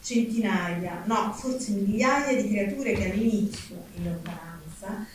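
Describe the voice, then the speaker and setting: A woman's voice: medium pitch, slow pace. A woman reading literary prose aloud at a live event. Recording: echoey noisy hall, distant mic.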